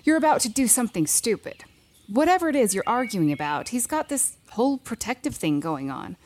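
A woman's voice: fairly high pitch, sing-song, fairly quick, a little mellow, a little hiss or hum. An actress playing a wry, reassuring woman advisor in an audio drama, talking in a blunt, casual way. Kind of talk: fiction podcast drama acting.

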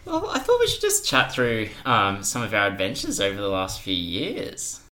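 Bright, low-pitched young man. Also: wildly swooping pitch, normal speed. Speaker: podcast guest, junior doctor. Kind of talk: casual anecdotal storytelling.

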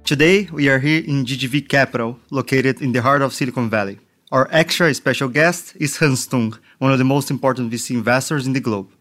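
A man's voice: mid-range voice, fairly quick, a bit bright, soft background music. A man from Brazil hosting a podcast and delivering a scripted introduction of his guest. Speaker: podcast host, venture capital partner.

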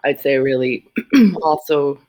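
A middle-aged woman from South Africa, a social worker and yoga facilitator, speaking reflectively as an interview guest with frequent pauses. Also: sits low, dark tone. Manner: thoughtful, searching, many pauses and ums.

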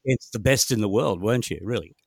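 A man's voice: on the low side, fast, vocal fry, a little mellow, breathy edge, dry recording, clean studio sound. A man, asking prepared questions as an interviewer.

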